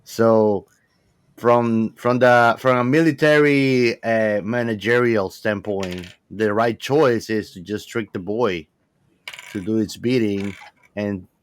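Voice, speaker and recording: Male podcast co-host, casual and opinionated, halting wordy delivery, close dry recording. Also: on the low side, no echo. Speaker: podcast co-host discussing a film. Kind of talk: casual film discussion.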